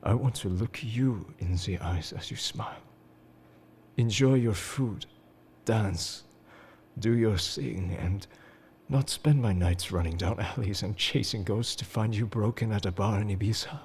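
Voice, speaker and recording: Man performing suave wounded agent, lowish husky voice, unhurried, breathy, confessional, tenderly devoted, intimate roleplay audio. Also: darkish tone, exaggerated voice. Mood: earnest, protective. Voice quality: breathy, husky, slipping into whispers. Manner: measured, confessional, halting. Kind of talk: intimate scripted roleplay audio addressed to the listener.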